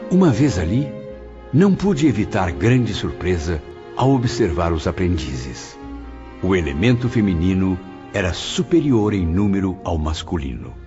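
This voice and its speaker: A man's voice: low voice, big pitch swings, measured pace. An actor playing an earnest, reflective male spirit who recounts his afterlife in solemn, formal audio drama style. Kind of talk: audio drama acting, first-person narration. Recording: dull lo-fi audio over loud music.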